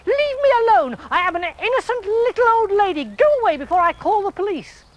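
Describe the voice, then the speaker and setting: A storyteller voicing a crowd of indignant little old ladies in a children's story, scolding in shrill voices. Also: very animated, fairly quick, lo-fi sound.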